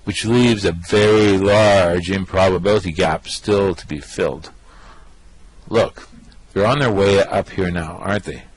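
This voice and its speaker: A man playing a brash, vain, excitable space rogue in a radio play, with cocky, slangy bluster. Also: sits low, a little slow, breathy edge, no room sound, low-quality recording. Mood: working it out. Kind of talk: amateur radio play acting.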